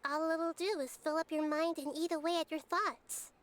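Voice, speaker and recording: A woman voicing a gentle, wise girl goddess in a game, talking softly and kindly, with game music behind. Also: high-pitched, steady tone, normal speed, natural voice. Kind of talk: game character dialogue.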